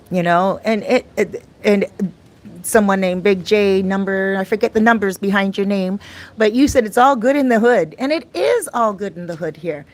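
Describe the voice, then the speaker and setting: A middle-aged woman vlogging casually about her life abroad, chatty and rambling, her voice a little raspy and often clearing her throat. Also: on the low side. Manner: chatty, rambling, with many fillers and restarts. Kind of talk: casual talk to camera. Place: her home in a Dominican neighborhood.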